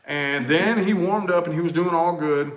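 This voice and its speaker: A man with a loud, booming voice, talking in a casual, animated chat with exclamations and swearing. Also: normal speed. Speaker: vlog co-host. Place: large echoey house. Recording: echoey room.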